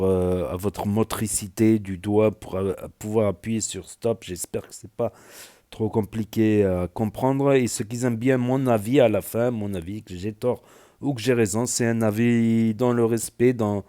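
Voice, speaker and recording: Man, southwestern French accent, low voice, mellow tone, rambling casual commentary, lots of fillers. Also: steady tone, unhurried. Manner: rambling, filler-heavy, many "voilà" and "euh". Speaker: YouTube news commentator.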